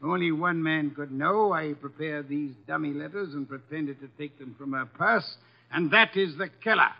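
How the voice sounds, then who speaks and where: An actor playing a fat, shrewd, commanding middle-aged detective with formal, precise diction in a 1950s radio drama. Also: male voice, on the high side, moderate pace, gravelly. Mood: accusing, forceful. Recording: dull, lo-fi vintage radio recording.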